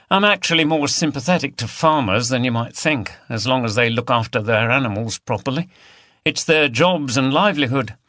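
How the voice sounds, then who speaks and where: Defiant countrywoman activist, played by a male reader, flippant and forthright, raised pitch, audiobook mystery. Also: sharp tone, no room sound, studio quality. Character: defiant, blunt village animal rights woman.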